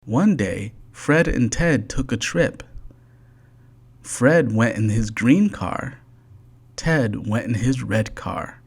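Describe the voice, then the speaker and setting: Storyteller for a children's bedtime book: unhurried, deliberate, animated intonation. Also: medium pitch, breathy edge. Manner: slow, deliberate, expressive read-aloud. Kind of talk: scripted children's storybook narration.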